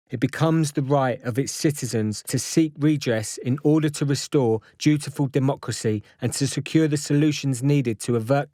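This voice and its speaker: A man reading a scripted activist declaration in a solemn, measured, formal way. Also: mid-range voice, mellow, dry recording, studio quality. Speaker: activist reader.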